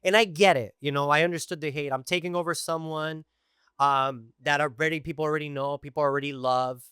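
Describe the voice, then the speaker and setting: A middle-aged man from Tijuana and East Los Angeles tells stories in a bright, crisp, fairly high voice. He talks casually and with energy, full of "you know" and "like". The recording is close and dry. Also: a little fast, dry recording. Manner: animated storytelling with many fillers. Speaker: guest. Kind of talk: casual podcast conversation.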